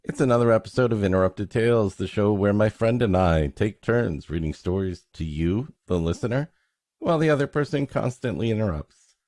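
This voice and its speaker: A man's voice: on the low side, lively intonation, brisk, a little mellow, no room sound, studio quality. A man hosting a podcast with comedic banter and story introductions, playfully mock-dramatic in his delivery. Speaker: podcast host.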